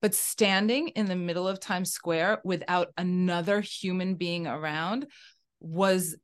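A woman with a naturally loud voice and a somewhat low pitch. She talks like a podcast guest, gushing and emphatic as she describes things. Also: steady tone, a little slow. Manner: effusive, emphatic storytelling. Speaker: guest, physical therapist. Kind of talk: casual podcast conversation.

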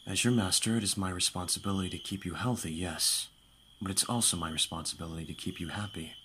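A man voicing an aristocratic young vampire master, commanding yet doting, formal and measured, in an audio roleplay. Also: low-pitched, steady tone, sharp tone, slight background noise. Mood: tender, doting. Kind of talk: ASMR audio roleplay acting. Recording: music behind the voice. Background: background music.

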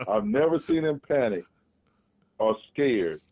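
A man's voice: medium pitch, a little slow, no room sound. Man on a tinny phone line. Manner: animated storytelling. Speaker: podcast co-host. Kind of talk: casual chat.

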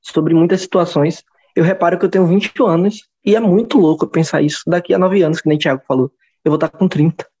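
A man's voice: a little high, fast talker, mellow, slightly rough. A young man in his twenties with a Brazilian accent, musing in casual podcast chat, rambling and restarting as he goes. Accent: Brazilian Portuguese. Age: 20s. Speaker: podcast host. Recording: dull lo-fi sound.

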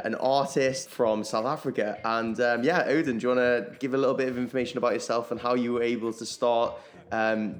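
A man hosting a podcast, reading a short intro and then asking questions with encouraging interjections. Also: medium pitch. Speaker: podcast host.

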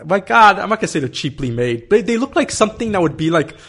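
Man, thirties, fairly high-pitched, casual rambler, lots of fillers. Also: very animated, quick pace, a bit bright, slightly rough. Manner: rambling, many fillers and swearing, laughs often. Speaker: guest telling a story. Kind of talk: casual storytelling in conversation.

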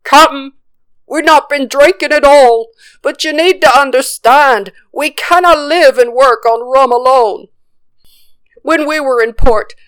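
A woman's voice: high voice, clear, resonant tone, no room sound, pitched above the performer's own voice. A woman voicing a timid male pirate crewman in a storybook tale, earnest and pleading, with old-time sailor talk.

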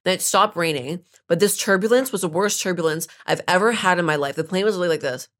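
A woman co-hosting a podcast in casual chat. Her voice is raspy and hoarse from a cold, and she tells rambling, animated stories full of fillers. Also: fairly low pitch, quick pace. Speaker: podcast co-host.

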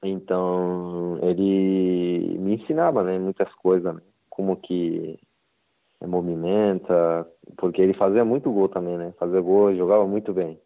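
Interviewed footballer: Japanese-accented man, low voice, halting non-native Portuguese over a thin phone connection. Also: little pitch movement. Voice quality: halting, simple Portuguese with many fillers. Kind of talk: casual interview. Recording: tinny phone-line sound.

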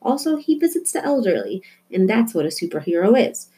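A woman voicing an earnest, excitable young girl in a storybook, explaining things eagerly and emphatically. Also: mid-range voice, a little fast, breathy edge, voice pushed up. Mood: excited. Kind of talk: storybook character voice.

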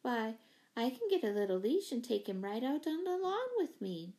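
A woman's voice: a little high, very animated, normal speed, dark tone. A woman voicing a fluttery, anxious, apologetic older spinster in a children's storybook, gushing and flustered. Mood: grateful, earnest.

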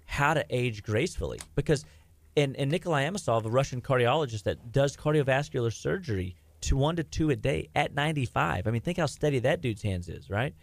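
A man's voice: medium pitch, expressive, brisk, gravelly. A middle-aged man, a health practitioner, talking in a casual rambling way with lots of restarts and fillers. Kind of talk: casual podcast chat.

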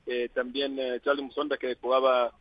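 A man's voice: medium pitch, flat delivery. A man from Zambia talks in Spanish that is not his first language, stopping often to hunt for words. His voice comes through a dull, lo-fi line. The sound is dry, with no room echo. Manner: halting, searching for words with many fillers. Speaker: interview guest. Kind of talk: phone interview.

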